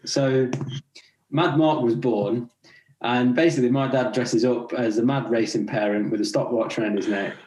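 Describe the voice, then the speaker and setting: A man's voice: medium pitch, normal speed, roomy sound, rough recording. A young man with an English accent, raised partly in Scotland, chatting casually as a podcast guest and laughing as he tells stories. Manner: relaxed, chatty, laughs while telling stories. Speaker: podcast guest, racing rider. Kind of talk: casual interview chat.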